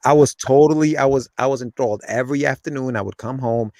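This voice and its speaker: A man in his forties who grew up in Ecuador talks as a guest, rambling through his memories with plenty of restarts and "like"s. His voice sits at an ordinary middle pitch, and the recording is dry and close. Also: fast talker, mellow, no room sound. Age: 40s. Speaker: guest, comic creator. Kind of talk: casual interview chat.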